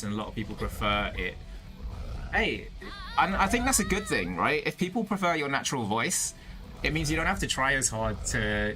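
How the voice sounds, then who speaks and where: A man's voice: medium pitch, bright, forceful tone. Chatty British man streaming over music. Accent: British with a slight American tinge. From Britain. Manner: rambling, laughs often, many fillers and restarts. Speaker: VTuber streamer. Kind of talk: casual chat with stream viewers. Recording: music playing behind the voice.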